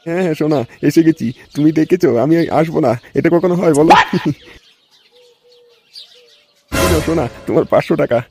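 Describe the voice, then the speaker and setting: A man's voice: on the high side, rapid-fire, mellow, soft background music, natural voice. A man voicing a grumbling, foul-mouthed comedy cartoon man, given to blustering exclamations. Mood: sweet, wheedling.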